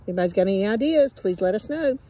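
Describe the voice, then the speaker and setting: A woman's voice: medium pitch, expressive, racing, dark tone. A woman with a Southern US accent chatting casually and folksily outdoors as a metal detecting vlogger. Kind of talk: casual chat. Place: outdoors on an old farm. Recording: faintly noisy outdoor camera audio.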